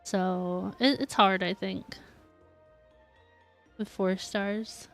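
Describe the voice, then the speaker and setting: A woman's voice: medium pitch, fairly even intonation, extremely slow, a bit bright. A woman chatting casually to viewers as she streams a game. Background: game music.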